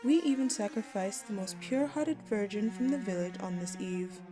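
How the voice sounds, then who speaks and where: A woman playing an ancient dark goddess in an audio roleplay, speaking to the listener in formal, grandiose, commanding words, ominous yet caring. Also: medium pitch, normal speed, natural voice. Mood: concerned, reassuring. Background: background music.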